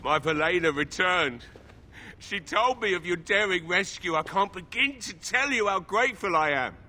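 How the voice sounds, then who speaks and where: Bright-voiced, earnest fantasy villager man. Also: high voice, expressive, moderate pace, heavily acted. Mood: grateful. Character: grateful, earnest male villager in a fantasy game. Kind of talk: scripted video game dialogue.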